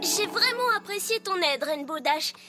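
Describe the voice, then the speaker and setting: A tiny, very high voice brings an excitable young cartoon filly to life. She is dramatic and impatient, repeating herself in exclamations and pleas. Music plays behind her. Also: moderate pace, full character voice. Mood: eager. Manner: pleading, exclamatory, repeats words. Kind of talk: animated children's cartoon dub.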